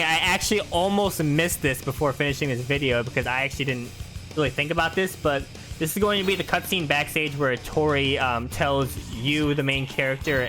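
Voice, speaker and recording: A man's voice: medium pitch, pushed and bright. A man hosting a gaming video with casual, chatty commentary. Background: faint music.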